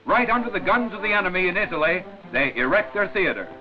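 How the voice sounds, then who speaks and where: High-pitched 1940s newsreel man. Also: big pitch swings, fast. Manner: jaunty, declamatory showman delivery. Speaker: newsreel narrator. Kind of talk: 1940s newsreel narration, scripted. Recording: dull vintage 1940s newsreel soundtrack. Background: music.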